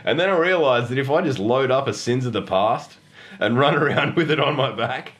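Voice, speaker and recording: An Australian man, hoarse and husky with flu, hosts a casual gaming podcast chat in a jokey, self-deprecating, sweary way. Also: mid-range voice, expressive, fairly quick, fairly bright tone. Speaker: podcast host.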